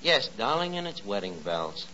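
An actor playing a pretentious, dim, lovestruck tavern manager in 1940s radio comedy, mangling highfalutin phrases. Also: male voice, very animated, moderate pace. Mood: wooing. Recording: muffled 1940s radio recording with hiss.

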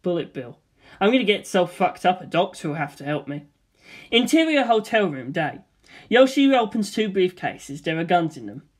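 A voice reading a screenplay aloud in a flat, deadpan way, stage directions and dialogue alike. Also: medium pitch, moderate pace. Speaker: script reader.

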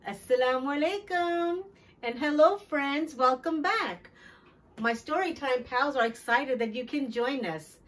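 A middle-aged woman hosting a children's storytime, reading a picture book aloud with deliberate, clear phrasing for young listeners, picked up from a little distance. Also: high-pitched, animated. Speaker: children's storytime host and teacher. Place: small decorated classroom-style storytime corner, indoors.

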